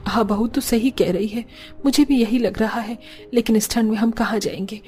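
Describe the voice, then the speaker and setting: Cartoon role woman performing: older mother-in-law, long-suffering, protective, earnest lament, fairly high voice. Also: steady tone, moderate pace, a little mellow, soft background music, lightly acted. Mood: worried, reassuring.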